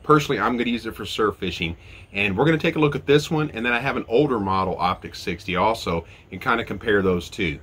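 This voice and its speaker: A man's voice: on the low side, fast, fairly bright tone, husky. A man in his forties, stuffy and nasal from a head cold, reviewing fishing gear in a chatty step-by-step way, recorded close on an outdoor deck. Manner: chatty, explains step by step with fillers. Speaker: fishing channel host. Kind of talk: casual product review.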